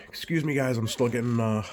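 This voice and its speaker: A man with a slightly scratchy voice, getting over a cold, talks informally through a repair demonstration in a hesitant, unhurried way, thinking aloud. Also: mid-range voice, darkish tone. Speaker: radio repair technician and video host. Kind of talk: informal bench demonstration vlog. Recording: clearly audible background noise.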